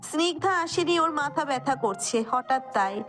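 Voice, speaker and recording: A woman's voice: high voice, animated, moderate pace, a bit bright. A woman narrator reading a scripted romantic story.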